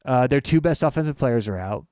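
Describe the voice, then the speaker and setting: A man talking through betting picks in a loose, halting way, often stopping to restart his thought. The voice comes through smooth and close, with a dry sound. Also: medium pitch, expressive, a little mellow, dry recording. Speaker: podcast co-host. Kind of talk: casual sports betting chat.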